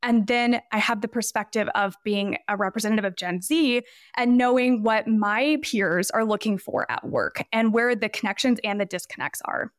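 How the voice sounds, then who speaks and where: A woman's voice: mid-range voice, normal speed, no echo, clean studio sound. A woman in her twenties, a podcast guest, talking casually and explaining her ideas at length with examples. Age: 20s. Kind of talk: casual interview talk.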